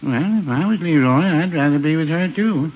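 An actor playing a mild, gently contrary old small-town man in a 1950s radio comedy, dry, folksy and hesitant. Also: on the high side, expressive, moderate pace, close to an everyday voice. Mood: droll. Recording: dull, noisy 1950s radio recording.

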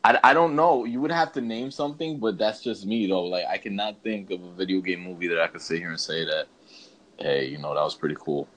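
A man's voice: low-pitched, fairly even intonation, fairly quick, fairly bright tone, low-quality recording. A man co-hosting a podcast in casual chat, talking in a slangy, emphatic, rambling way. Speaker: podcast co-host. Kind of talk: casual chat ranking bad video game movies.

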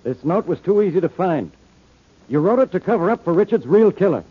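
An actor playing a gruff, sarcastic police inspector in an old-time radio detective drama, blunt and needling with a rough-edged voice. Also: male voice, sits high, sing-song, brisk, phone-quality sound, full character voice. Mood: exasperated, accusing. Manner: blunt, needling, hard-boiled delivery.

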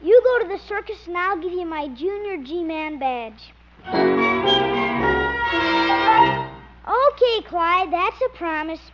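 A woman's voice: very high-pitched, expressive, normal speed, over loud music. A performer voicing an eager, earnest little boy who pleads and bargains, in 1950s radio comedy style. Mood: resigned. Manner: earnest, pleading, boyish exclamations. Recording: muffled, very noisy old radio recording.

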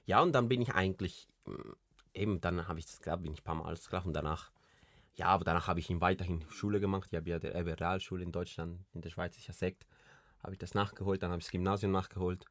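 Tiefe Stimme, junger Mann aus Kolumbien, trockener Klang, lockeres Plaudern mit vielen Füllwörtern. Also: male voice, low voice, steady tone, quick pace, no room sound, studio quality. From Colombia. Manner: rambling, many restarts and fillers. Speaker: podcast co-host. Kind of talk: casual chat.

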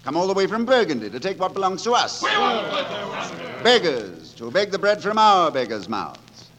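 An actor playing a gallant, roguish gutter poet and leader of rabble in a theatrical, declamatory 1940s radio drama style. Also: male voice, sits high, very animated, normal speed, crowd noise. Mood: rousing, defiant. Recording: 1940s radio broadcast with music behind.

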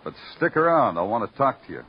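A man's voice: mid-range voice, sing-song, quick pace, breathy edge. An actor in a 1950s radio noir playing a terse, world-weary, hard-boiled male police lieutenant. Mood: dry, probing. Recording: noisy, muffled vintage radio recording.

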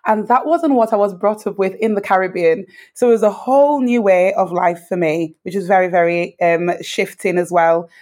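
A woman in her thirties from Dominica with a British accent, an interview guest thoughtfully telling her life story with frequent pauses. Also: medium pitch, darkish tone. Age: 30s. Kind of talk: reflective personal storytelling.

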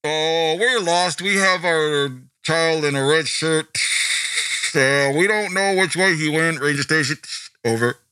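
A man's voice: on the high side, measured pace, sharp tone, smooth, no echo, low-quality recording. A man playing searchers and a ranger talking over walkie-talkies, hissing static noises between lines. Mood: goofy. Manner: hissing radio static sounds, drawling.

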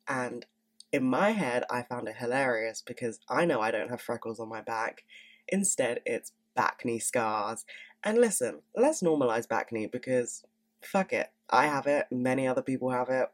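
A young woman in her twenties hosting a podcast in casual, candid chat, swearing freely and sounding stuffy from a cold. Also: very deep voice. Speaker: podcast host.

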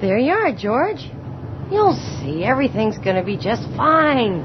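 A woman's voice: on the high side, very animated, a little slow. A woman playing a concerned, casual young woman in an old radio drama, hesitant and soothing. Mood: reassuring. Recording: dull old radio recording with hiss.

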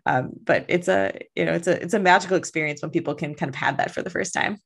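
A woman's voice: fairly low pitch, fast talker, vocal fry, slightly rough. An American woman in her thirties speaking as the host of a podcast interview. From US Midwest, grew up in Chicago.

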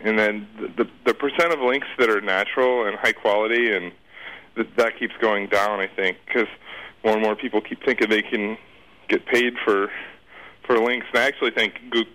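A man's voice: on the low side, steady tone, a little fast, pushed and bright, poor audio. A man speaking as an expert interview guest, explaining things informally in a conversational, circling way. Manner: informal, circling explanations with repeated "you know".

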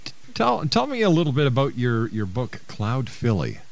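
A man's voice: mid-range voice, wildly swooping pitch, moderate pace, vocal fry. An older man, hosting a live talk radio show in an announcer's style.

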